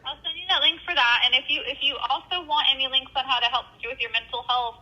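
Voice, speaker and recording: Woman, helpline worker, gentle, hesitant, filler-heavy, somewhat high voice. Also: steady tone, fast talker, lo-fi sound. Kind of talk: phone support call.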